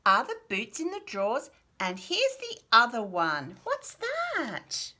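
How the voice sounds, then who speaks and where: A woman with an Australian accent reading picture books aloud to toddlers in an animated, sing-song way, with chatty asides and questions to the child. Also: on the high side, takes it slowly. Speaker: children's picture book read-aloud host.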